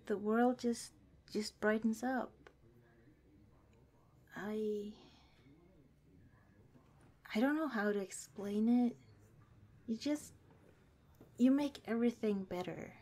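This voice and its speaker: Slow, mellow, affectionate policewoman played by a woman. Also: on the high side. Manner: gentle, hesitant, giggly. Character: affectionate, shy young female cop comforting her partner. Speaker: ASMR roleplay performer. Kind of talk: intimate ASMR roleplay, soft-spoken.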